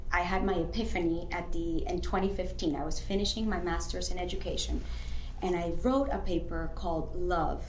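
A woman's voice: lowish voice, steady tone, normal speed, darkish tone, a little hiss or hum. Earnest woman in her thirties, echoey room. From Venezuela. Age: 30s. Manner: earnest, reflective, with frequent restarts. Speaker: author and guest. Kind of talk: earnest interview talk.

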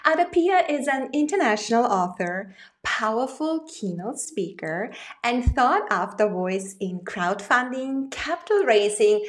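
A woman hosting an interview show, reading a formal guest introduction and then chatting with some hesitant fillers. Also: a little high. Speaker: podcast host.

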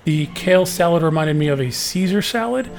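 Booming, chatty male podcast host. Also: lively intonation, brisk, vocal fry, faint music behind. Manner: chatty, easygoing storytelling. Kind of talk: casual podcast recap.

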